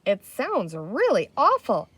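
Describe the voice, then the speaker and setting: Woman as an earnest young girl, high-pitched. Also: wildly swooping pitch, takes it slowly, fairly bright tone, breathy edge, dry recording, exaggerated voice. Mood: wide-eyed realization. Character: sensible young girl, earnest and wide-eyed. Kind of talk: children's storybook character voice.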